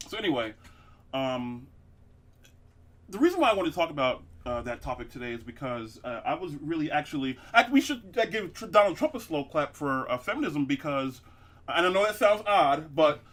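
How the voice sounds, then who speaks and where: Bright-voiced rambling podcast host, man. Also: fairly high pitch, sing-song, normal speed. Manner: rambling, laughing, many fillers and restarts. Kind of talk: casual comedic chat.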